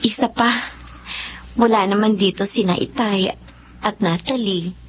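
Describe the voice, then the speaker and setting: A woman's voice: medium pitch, fairly even intonation, measured pace, natural voice. A woman acting a sweet, playful young twin sister in a radio drama. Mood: tender. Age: young adult. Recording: dull lo-fi radio, faint noise.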